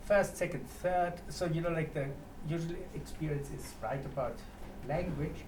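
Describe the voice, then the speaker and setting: A man from Germany facilitating a writing workshop, talking informally and reflectively, haltingly posing questions to the group. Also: a little high, a little mellow. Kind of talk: informal spoken introduction to a workshop. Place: echoey room at a live workshop. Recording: echoey room, distant mic, clear background noise.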